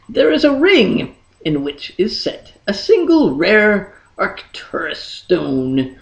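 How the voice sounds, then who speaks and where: A storyteller reading a fantasy tale in a dramatic, deliberate manner with lingering pauses. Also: medium pitch, vocal fry, a little mellow, muffled recording. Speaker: audiobook reader. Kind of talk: dramatic scripted fantasy narration.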